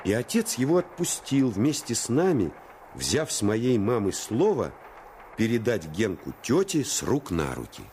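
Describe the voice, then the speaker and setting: An actor narrating a radio play in the first person as an earnest, intense storyteller recalling a boyhood adventure. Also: male voice, mid-range voice, big pitch swings, unhurried, a little mellow, slight background noise. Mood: reflective. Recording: radio play with music underneath.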